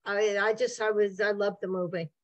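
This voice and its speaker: A woman's voice: mid-range voice, fairly even intonation, normal speed, no room sound, highs cut off. An older woman on a video call from home, enthusiastic and stumbling over her words. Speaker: discussion participant. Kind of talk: casual chat on a video call. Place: home room.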